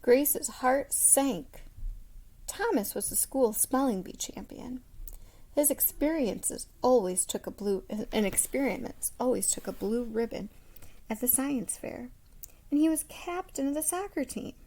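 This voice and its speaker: A woman reading a children's story aloud expressively, with dramatic pauses and hushed asides. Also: high voice, animated, poor audio. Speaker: storybook reader.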